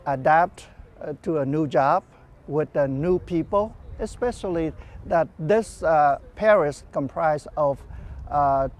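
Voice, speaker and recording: A pastor whose mother tongue is Vietnamese talks about his parish in a documentary interview. His speech is halting and full of pauses, and his voice sits fairly high for a man. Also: a little mellow. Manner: halting, frequent fillers.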